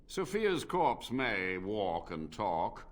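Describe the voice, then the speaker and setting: An actor playing an ancient, unrepentant blood mage in a fantasy video game, speaking in a grave, formal, theatrical way. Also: male voice, lowish voice, big pitch swings, drawn-out words, heavily acted. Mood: bitter, weary.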